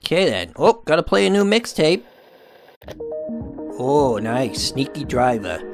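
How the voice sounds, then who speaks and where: Animated, jokey male gaming host. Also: mid-range voice, unhurried, creaky. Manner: jokey, exclamatory, cheeky puns. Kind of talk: playful running gameplay commentary. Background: game music.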